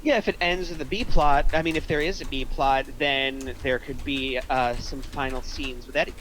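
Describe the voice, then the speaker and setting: A man's voice: mid-range voice, steady tone, normal speed, fairly bright tone. Analytical man in a noisy room. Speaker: script reading participant giving notes. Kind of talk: casual group feedback discussion.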